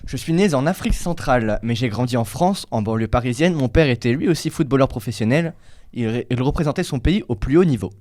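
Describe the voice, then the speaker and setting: A man's voice: medium pitch, expressive, fairly quick, a little mellow. Young man reading out football quiz clues. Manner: reads clues aloud, stumbles over words. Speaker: quiz host. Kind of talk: casual football quiz chat among friends.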